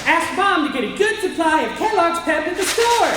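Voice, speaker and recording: A woman's voice: very high-pitched, expressive, moderate pace, over music. A woman playing an eager, instructive announcer in a 1940s-style radio advertisement. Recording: noisy echoey hall, distant mic.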